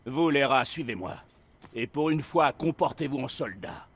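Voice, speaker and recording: A man's voice: mid-range voice, animated, moderate pace, a bit bright, slight background noise, natural voice. A man playing a stiff, stern army officer in a game drama, curt and authoritative. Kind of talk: dramatic game acting in French.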